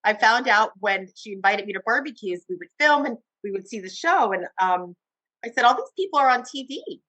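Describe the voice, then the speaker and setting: A woman television host recounts her career in an interview, speaking with enthusiasm and animated swings in tone. The sound is dry and close to the mic, with no room around it. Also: fairly high pitch, a little fast, dry recording, poor audio. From Cuba. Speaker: TV host guest. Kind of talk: interview conversation.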